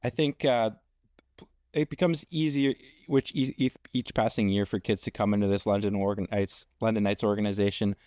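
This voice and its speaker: A young man with a fairly low voice interviews in a conversational style. He is halting, repeating and restarting words as he shapes a question. The recording is dry, with little room sound. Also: fairly even intonation, a little mellow, no room sound. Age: young adult. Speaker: podcast host, interviewer.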